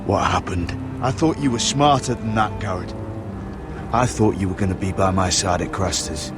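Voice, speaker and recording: An actor playing a brooding, loyal young sworn brother in a game drama, serious and concerned. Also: male voice, deep voice, normal speed, over music, natural voice. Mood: disappointed.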